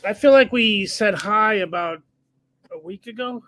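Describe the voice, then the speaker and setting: A high-pitched man co-hosts a podcast, chiming in with quick questions and short reactions. His voice sits well above typical male pitch. The studio sound is dry. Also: lively intonation, fairly bright tone, no room sound. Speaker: podcast co-host. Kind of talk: casual podcast chat.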